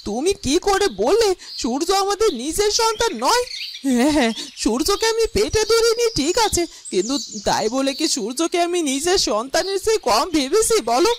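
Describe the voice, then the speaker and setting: A woman's voice: extremely high pitch, very animated, normal speed. A woman voicing an anxious, doting mother who pleads in a hurt way in a melodramatic cartoon. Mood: hurt, indignant. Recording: noisy track with chirping birds.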